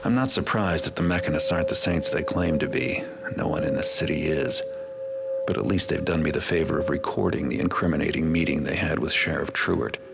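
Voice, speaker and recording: An actor playing a cynical, sly master thief, narrating his plans in a dry, sardonic game voice-over with a gravelly voice. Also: a bass voice, brisk, exaggerated voice. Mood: calm, scheming. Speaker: narrator of mission briefings. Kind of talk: scripted noir-style game narration. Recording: music under the voice.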